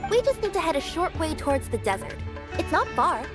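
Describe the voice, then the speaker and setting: Casting: earnest girlish anime game courier, extremely high voice, set over music. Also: very animated, fairly quick. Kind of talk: anime video game voice acting.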